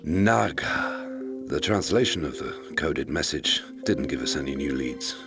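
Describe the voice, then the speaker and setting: Very deep, gravelly investigator narrator. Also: male voice, expressive, measured pace, heavily acted. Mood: brooding. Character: sombre male investigator recounting a murder case. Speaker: narrator and investigator in a mystery game. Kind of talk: scripted noir-like narration. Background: loud background music.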